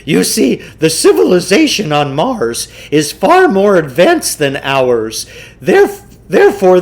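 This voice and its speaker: A man reading a children's novel, voicing a pompous, theatrical, eccentric salesman who lectures with deliberate self-importance. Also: high-pitched, full character voice. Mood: boastful. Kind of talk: children's novel read aloud in character.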